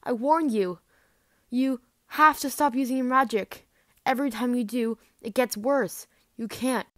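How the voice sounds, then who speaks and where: An anxious young male dragon, acted by a woman, pleads in an urgent, halting way. The sound is dry, in the manner of an amateur audio drama audition. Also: fairly high pitch, a bit bright, no echo, pitched above the performer's own voice. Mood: pleading, alarmed.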